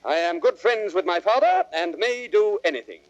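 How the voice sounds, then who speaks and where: An actor playing a bold, teasing young prince in a theatrical, declamatory old radio Shakespeare style. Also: male voice, high-pitched, big pitch swings, normal speed, no room sound. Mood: bold, commanding. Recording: dry, muffled vintage recording.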